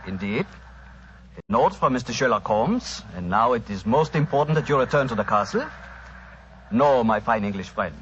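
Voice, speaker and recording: An actor playing a polite but menacing German doctor with a German accent and formal phrasing in a 1940s radio drama. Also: male voice, mid-range voice, expressive, normal speed, a bit bright, close to an everyday voice. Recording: dull, noisy old radio recording.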